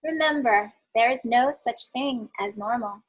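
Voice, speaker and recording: A woman's voice: on the high side, unhurried, slightly rough, rough recording. A woman reading as a friendly waitress. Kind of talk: storybook read-aloud.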